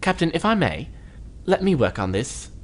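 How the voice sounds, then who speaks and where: A man's voice: fairly high pitch, sing-song, moderate pace. An actor playing a helpful male starship engineering officer who gives precise technical explanations.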